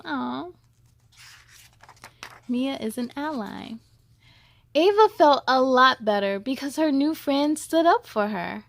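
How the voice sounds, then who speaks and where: A woman's voice: high voice, vocal fry, no echo, studio quality. A woman hosting a children's story time, reading aloud expressively and speaking to young listeners in an encouraging way.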